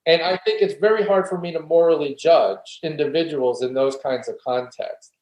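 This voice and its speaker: A man's voice: a little high, normal speed, a little mellow. A man speaking as an academic interview guest, explaining and arguing his points earnestly in a conversational way. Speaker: guest philosophy professor. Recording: roomy, echoey room sound.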